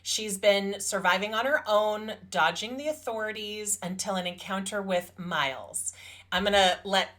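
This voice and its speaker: A woman's voice: medium pitch, normal speed, a bit bright. A woman co-hosting a podcast, talking about books in an enthusiastic, informal way. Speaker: podcast co-host. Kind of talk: casual book review chat.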